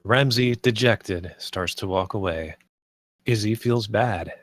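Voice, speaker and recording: A man's voice: fairly low pitch, slow, no echo, clean studio sound. A man narrating the stage directions of a script at a table read.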